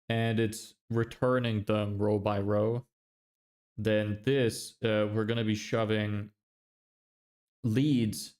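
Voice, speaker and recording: Young man, fairly low voice, from Lithuania, raised in Ireland, casual stream chat, rambling restarts. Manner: relaxed, rambling, with many restarts. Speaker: livestreamer. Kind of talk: casual livestream chat, reading chat.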